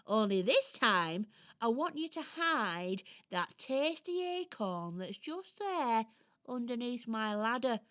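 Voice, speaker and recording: A boastful, mischievous squirrel from a children's radio play. Her British voice is high and bright, leaping around theatrically as she shows off. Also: sing-song, slow, exaggerated voice. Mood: playful, confident. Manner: theatrical, exclamatory, cocky.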